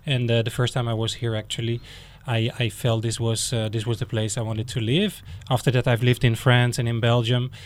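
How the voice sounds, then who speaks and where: Casting: mid-pitched man from the Netherlands, thoughtful podcast co-host. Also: little pitch movement, a little fast, hardly any pauses. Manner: reflective, hesitant restarts. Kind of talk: casual interview chat.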